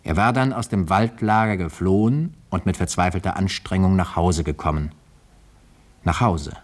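A man presenting in a measured, scripted, literary style, as in a radio introduction. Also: low-pitched.

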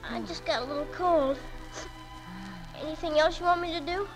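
A woman's voice: high-pitched, fairly even intonation, normal speed, natural voice, low-quality recording. A performer playing a devoted young boy who tries to be brave, in a 1940s radio drama. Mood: anxious, brave. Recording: old 1940s radio recording, music underneath.

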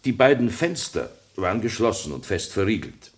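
A man's voice: fairly low pitch, big pitch swings, moderate pace. A man playing a careful, deferential police sergeant giving his report in a German radio play. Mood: factual.

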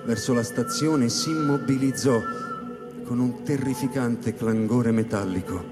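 A male actor playing a solitary astronaut who recounts his space voyage in a measured, solemn dramatic narration. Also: medium pitch, lightly acted. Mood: grave, tense. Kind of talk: literary sci-fi dramatic narration. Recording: long hall reverb over live electronic music. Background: electronic music.

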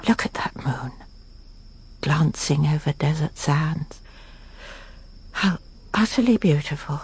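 A woman's voice: sits low, takes it slowly, slight background noise. An actress in a radio drama playing a proper, judgmental English wife and mother with a clipped, haughty manner. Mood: musing, unsettled. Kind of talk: BBC radio drama acting.

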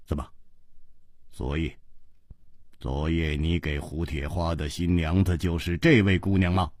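A man doing a calm, low-voiced hero. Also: no echo, clean studio sound. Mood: grave. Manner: low and measured. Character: calm composed male hero.

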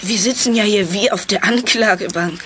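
A woman's voice: medium pitch, normal speed, a bit bright, natural voice. A woman playing a plucky, outspoken young detective in a radio play. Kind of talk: German radio play acting.

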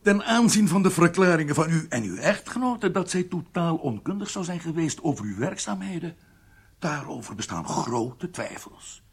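An actor playing a coldly courteous German doctor in a radio drama, formal, clinical and politely menacing. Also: male voice, a little high, lively intonation, moderate pace, creaky, slightly rough, full character voice.

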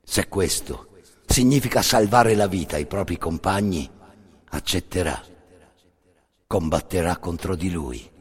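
A man performing scripted dramatic storytelling as a solo narrator, grave and deliberate, in short phrases with pauses. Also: deep voice, expressive, slight background noise. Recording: long reverberant hall.

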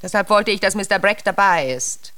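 An actress playing a middle-aged widow in a German radio play, speaking in a controlled, deliberate and quietly insinuating way. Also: female voice, mid-range voice, lively intonation. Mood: determined, cold. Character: cool, calculating widow of a watch shop owner.

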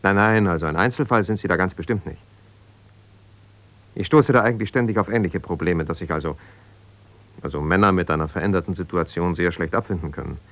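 An actor playing an ambitious, self-justifying lawyer in a German radio drama, speaking haltingly and conversationally as if on the phone. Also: male voice, low-pitched, a bit bright. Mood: measured, advising. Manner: conversational, halting, with repeated phrases and pauses.

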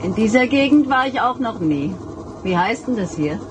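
A woman's voice: a little high, lively intonation, moderate pace, music in the background, natural voice, low-quality recording. An actress in a German radio drama playing a curious, worldly woman traveller with a teasing, arch manner.

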